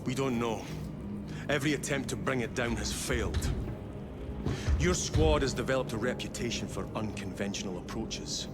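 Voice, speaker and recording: A grave military commander in a video game, voiced by a man. His pitch is average and he delivers his briefing with solemn, measured authority. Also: over loud music, close to an everyday voice. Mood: grim briefing. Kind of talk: dramatic video game voice acting.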